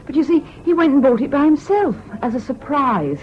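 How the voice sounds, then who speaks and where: An actress playing a frank, bossy but affectionate young British woman in a radio drama, brisk and teasing. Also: sits high, sing-song. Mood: self-deprecating. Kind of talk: BBC radio drama acting. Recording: dull lo-fi old radio broadcast, hissy.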